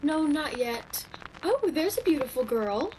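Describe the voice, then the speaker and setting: Woman playing a high-voiced, kindly coworker. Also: very animated, a little slow, a little mellow, a little hiss or hum, rough recording. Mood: watchful, curious. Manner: soothing, inquisitive. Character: kindly, calming confidant and shop coworker. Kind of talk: virtual radio play acting.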